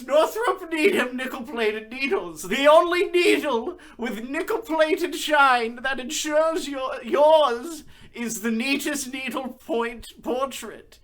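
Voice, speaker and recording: Gushing radio ad announcer, acted by a woman. Very high, bright voice, slow salesy delivery. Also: exaggerated voice. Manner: salesy, tongue-twisting. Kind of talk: old radio advertisement parody.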